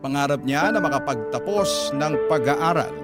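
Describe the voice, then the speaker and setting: A man's voice: a little high, expressive, moderate pace, lightly acted. A man with a Filipino accent narrating a parent's letter in a radio drama, in a sentimental storytelling manner. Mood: reflective. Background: prominent music.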